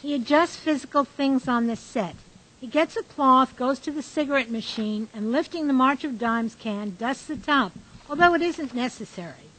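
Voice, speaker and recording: A woman's voice: high-pitched, moderate pace, a bit bright, rough recording. A woman reading scripted narration and stage directions for a radio drama.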